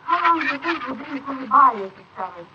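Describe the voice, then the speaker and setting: An actress playing a brazen, impatient, scheming bride in a 1940s radio drama style on an old recording. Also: female voice, high-pitched, lively intonation, fast talker. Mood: smug, scheming. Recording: noisy muffled 1948 wire recording of transcription discs.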